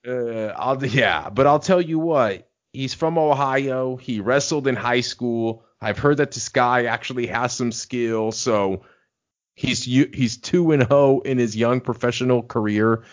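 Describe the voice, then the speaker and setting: A man's voice: mid-range voice, unhurried, muffled recording. A man co-hosting a casual podcast, talking in a laid-back, rambling way with lots of fillers. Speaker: podcast co-host. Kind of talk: casual chat.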